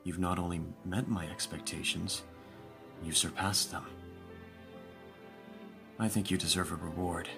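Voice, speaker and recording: A low-voiced, lordly young vampire played by a man speaks in formal, measured phrases, imperious yet doting toward his servant. It is an audio roleplay with clear music playing behind the voice. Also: steady tone. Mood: tender, doting. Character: aristocratic young male vampire master, commanding yet doting. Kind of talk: ASMR audio roleplay acting. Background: background music.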